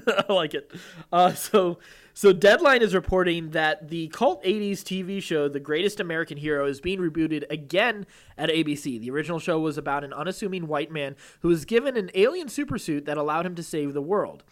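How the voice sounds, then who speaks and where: Higher-voiced man hosting casual podcast chat. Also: animated, a little fast. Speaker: podcast host. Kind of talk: casual nerd-news chat with some read-out news items.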